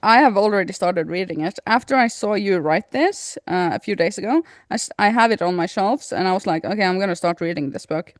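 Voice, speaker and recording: A woman's voice: medium pitch, brisk, hardly any pauses, no room sound. Woman, casual podcast chat, informal, slightly wry, dry sound with no room. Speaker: podcast co-host.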